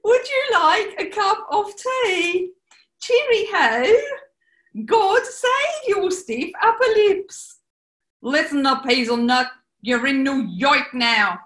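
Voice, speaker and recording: A woman's voice: very high-pitched, expressive, takes it slowly, a bit bright, exaggerated voice. A woman playing a brash, pushy New York woman announcer in a 1940s-style comedy radio play. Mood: mocking, brash.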